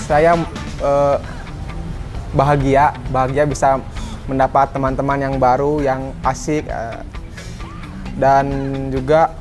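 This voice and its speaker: Man, 19, student, halting, little pitch movement, music in background. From Ciamis, Indonesia. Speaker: student at a language training school. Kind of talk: testimonial to camera. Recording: music laid over the voice.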